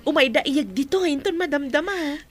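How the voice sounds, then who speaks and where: A woman's voice: sits high, animated, normal speed, soft background music. A woman playing a caring, supportive companion in an Ilocano Filipino radio drama. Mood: caring, chatty. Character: supportive female companion of a new mother, caring.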